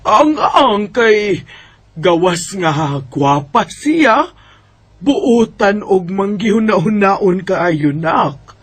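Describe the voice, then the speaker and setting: A man's voice: high-pitched, expressive, drawn-out words, fairly bright tone, heavily acted. A man with a Cebuano Filipino accent playing a nagging, coaxing middle-aged mother in an amateur radio drama.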